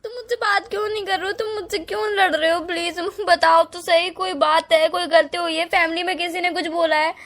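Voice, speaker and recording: Actress as desperate young girlfriend, extremely high pitch, tearful, pleading, repetitive, clingy, phone-call drama. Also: fairly even intonation, brisk, few pauses, a bit bright. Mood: distraught, begging. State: tearful, crying. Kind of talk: staged phone-call drama in conversational Hindi-Urdu.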